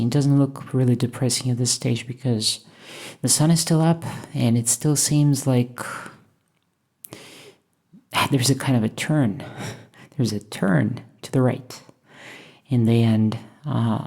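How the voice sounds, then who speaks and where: A woman from Russia, thoughtfully talking over her painting process in a hesitant, musing way with many pauses. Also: very deep voice, gravelly, darkish tone, slightly rough. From Moscow, Russia. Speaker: painter and channel host. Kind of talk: reflective voice-over while painting.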